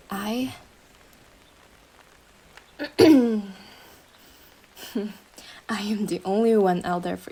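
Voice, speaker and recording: A woman's voice: fairly high pitch, exaggerated voice, poor audio. A woman playing a young, obsessive yandere girlfriend in a roleplay monologue, syrupy and menacing, speaking intimately to her partner with a jealous intensity. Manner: slow, sugary endearments swinging into intense jealous outbursts. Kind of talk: dramatic roleplay monologue addressed to the listener.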